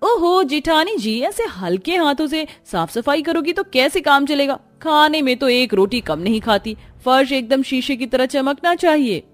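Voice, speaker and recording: A woman's voice: high voice, lively intonation, moderate pace, few pauses, voice pushed up. A woman voicing a haughty, spoiled young rich daughter-in-law in a cartoon family drama, whiny and scornful. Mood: mocking. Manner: whiny, mocking, scornful complaining.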